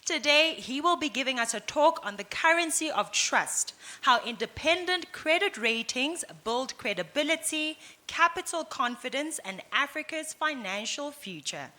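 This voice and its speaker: Woman, conference MC, high-pitched, bright tone, formal introductions. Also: expressive, moderate pace, hardly any pauses. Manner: formal, announcing. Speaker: master of ceremonies. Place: conference hall.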